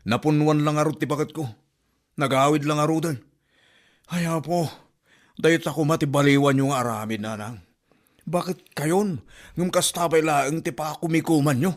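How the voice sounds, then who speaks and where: A man's voice: a little high, normal speed, pauses often, lightly acted. Man as an exasperated scolding son. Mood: reproachful. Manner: scolding, reproachful questions. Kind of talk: amateur comedy audio drama.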